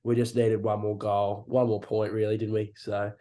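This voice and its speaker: A young Australian man with a mellow, low-key voice, talking footy on a podcast. He's blunt and frustrated, drops slang like mate and reckon, and swears freely. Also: little pitch movement, normal speed. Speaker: podcast co-host. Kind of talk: casual sports chat.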